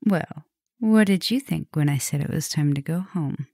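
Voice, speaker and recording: A woman playing a flirtatious, softly dominant vampire professor in an ASMR roleplay, intimate and teasing, sometimes dropping to a whisper. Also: low voice, moderate pace, gravelly, no room sound, clean studio sound. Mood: teasing, flirty. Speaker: roleplay audio performer.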